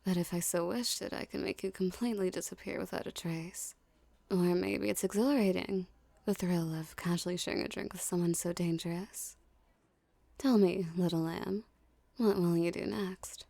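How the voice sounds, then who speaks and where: A dominant female mafia boss, played by a woman for an ASMR roleplay, speaking in a mellow, creaky voice recorded close and dry. She teases and condescends softly, with seductive, menacing confidence. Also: mid-range voice, dry recording. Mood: menacing, teasing. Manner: slow, teasing, condescending drawl.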